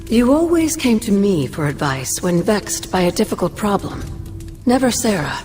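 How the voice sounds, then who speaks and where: A woman voices a gentle older witch mentor in a game cutscene. She is genteel and knowing, with a very melodic, mobile pitch. Faint music plays underneath. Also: sing-song, moderate pace, close to an everyday voice. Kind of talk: video game cutscene acting.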